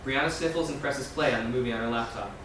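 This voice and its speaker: A man reads stage directions quickly in a very echoey, noisy room, some way from the mic. His delivery is scripted narration, moving briskly through screenplay scene descriptions. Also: mid-range voice, distant mic. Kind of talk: reading screenplay directions aloud.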